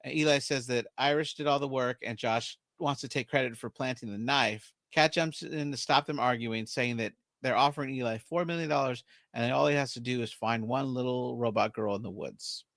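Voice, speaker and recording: A man's voice: mid-range voice, moderate pace, few pauses, a bit bright, no room sound, clean studio sound. A man hosting a podcast, recapping a movie's plot scene by scene in casual chat and laughing easily. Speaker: podcast host.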